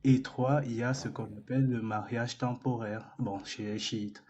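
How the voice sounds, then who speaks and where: A man's voice: mid-range voice, steady tone, moderate pace, darkish tone, a little hiss or hum. A man in a casual debate, reading text out and explaining it point by point. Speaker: debate participant.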